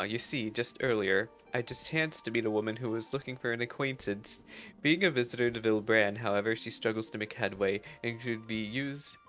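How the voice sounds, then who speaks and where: A man's voice: medium pitch, a bit bright, natural voice. A man reading aloud the lines of a courteous fantasy game minstrel in formal, archaic phrasing. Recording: music behind the voice.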